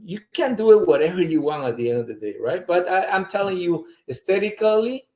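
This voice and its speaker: A man's voice: sits high, expressive, brisk, darkish tone. Strongly man in an echoey room. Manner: strongly accented, searching for words, many fillers. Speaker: guest. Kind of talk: casual conversation.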